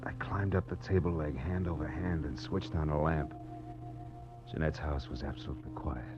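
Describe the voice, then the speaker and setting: An actor playing a hard-boiled, weary private detective, narrating and talking in terse, wry wisecracks in 1940s noir radio style. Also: male voice, a bass voice, steady tone, moderate pace. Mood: groggy, wry. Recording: muffled old radio recording, music behind.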